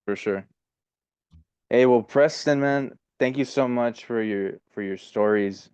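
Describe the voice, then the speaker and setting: A man's voice: medium pitch, unhurried, darkish tone, clear, resonant tone, dry recording, highs cut off. A man hosting a podcast, asking short friendly questions in a casual interview. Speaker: podcast host.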